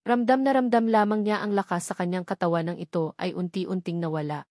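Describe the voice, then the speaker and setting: A woman's voice: on the low side, steady tone, a little fast, a little mellow, dry recording, studio quality. A woman reading a scripted story as an audiobook narrator.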